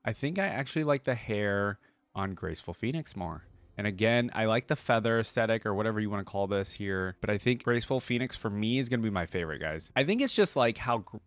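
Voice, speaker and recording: Low-voiced man hosting a casual reaction video. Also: animated, quick pace, no echo. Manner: informal, thinking aloud, addresses viewers. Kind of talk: casual reaction commentary, some reading aloud.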